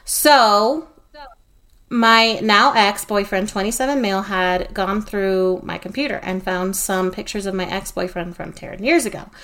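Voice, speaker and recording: A young woman co-hosting a podcast, agreeing warmly with her co-host and reading forum posts aloud with small stumbles. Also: medium pitch, unhurried.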